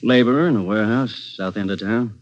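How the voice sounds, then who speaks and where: A man's voice: lowish voice, a little fast, lightly acted. An actor playing a bitter ex-convict man who talks in a sullen, sarcastic, grudging way, in 1940s radio drama. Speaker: released robber visiting detectives. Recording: dull old radio recording.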